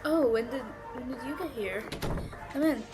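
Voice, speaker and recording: A young woman voicing a sad best friend from a troubled home who hides her hurt, talking haltingly with nervous little laughs and trailing off. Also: high-pitched, over music, natural voice. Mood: forced cheer. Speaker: voice actor. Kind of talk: audio roleplay.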